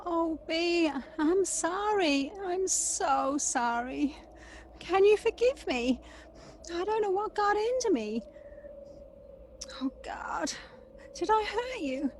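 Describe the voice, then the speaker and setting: A woman's voice: very high voice, a little mellow, a little hiss or hum, exaggerated voice. An actress playing an emotional grown woman in an audio play, a wistful sister who envies her sibling. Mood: remorseful.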